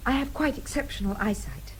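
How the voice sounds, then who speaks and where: A woman's voice: fairly high pitch, sing-song, fairly quick, slightly rough, rough recording. An actress playing a spirited, self-assured young English woman in a radio drama, polite and direct, with an English accent. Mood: guarded, defiant. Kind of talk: British radio drama acting.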